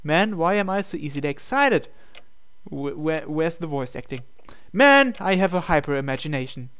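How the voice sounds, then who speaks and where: Higher-pitched man narrating a game playthrough. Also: lively intonation, normal speed, fairly bright tone, smooth. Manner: reads prose aloud, breaks into incredulous asides. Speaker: gamer reading a visual novel aloud.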